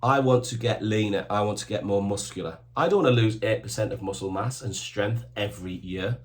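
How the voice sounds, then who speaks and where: A fifty-something man talks bodybuilding supplements straight to camera, firing off short, emphatic commands. His voice sits a little low, and he stays plain-spoken and keen throughout. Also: fairly quick. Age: 50s. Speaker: supplement shop owner giving fitness advice. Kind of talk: direct advice to camera.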